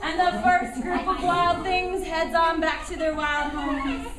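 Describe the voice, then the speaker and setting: A woman narrating a children's puppet show live, animated and encouraging as she directs the children. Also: extremely high pitch, a little slow, crowd noise. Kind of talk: live storytelling to young children. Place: indoor room at a school show. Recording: noisy echoey room, distant microphone.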